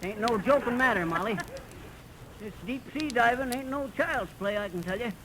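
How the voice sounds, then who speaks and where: A man's voice: very high-pitched, normal speed, noisy background. A man playing a folksy, boastful husband full of tall tales in a 1930s radio comedy, talking in ungrammatical rustic speech on a vintage recording.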